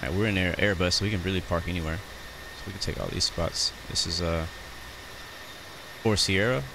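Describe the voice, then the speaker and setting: Low-voiced American man streaming flight sim. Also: brisk, gravelly. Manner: relaxed, chatty, greets chat viewers. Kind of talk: casual live-stream commentary. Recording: noisy background.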